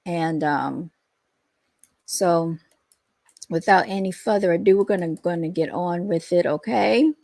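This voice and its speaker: A woman hosts her own show, chatting casually with viewers in a slow, drawn-out way. Her voice is low and scratchy as she fights off a cold. The sound is close and dry, with no room echo. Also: vocal fry, a little mellow. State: scratchy, under the weather. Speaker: host and founder of the channel.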